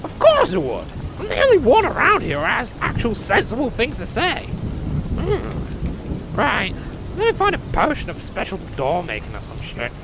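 Rain and thunder sit behind a high-pitched gnome potion-maker. The delivery leaps wildly up and down, bombastic and crude. It is a foul-mouthed, quarrelsome little creature from a comic fantasy audio drama. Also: male voice, huge pitch swings, normal speed, gravelly, heavy background noise, heavily acted. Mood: mocking. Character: crude, cranky male gnome potion-maker in a dressing gown.

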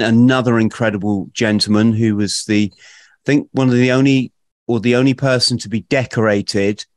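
Podcast host: British male, middle-aged, lowish pitch, halting conversational delivery, dry close-mic sound. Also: no echo. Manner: halting, repeats words. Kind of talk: conversational interview introduction. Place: small room at home, video call. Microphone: close podcast microphone.

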